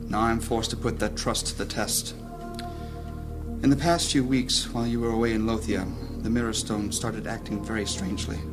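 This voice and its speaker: A man's voice: lowish voice, fairly even intonation, lightly acted. Man playing a solemn, worried mage leader. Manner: formal, measured, solemn. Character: grave, worried male guild leader, a grey mage. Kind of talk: fantasy audio drama acting. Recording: music behind the voice.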